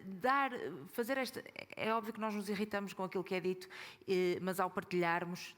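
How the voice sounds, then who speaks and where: A woman speaking as a panelist at a club event, measured and often restarting her sentences. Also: on the low side, big-room sound.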